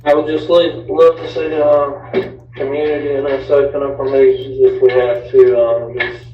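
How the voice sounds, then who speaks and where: A man giving halting, unscripted remarks as a board member at a meeting. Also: medium pitch, little pitch movement. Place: indoor meeting room. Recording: echoey, distant, dull, faint noise.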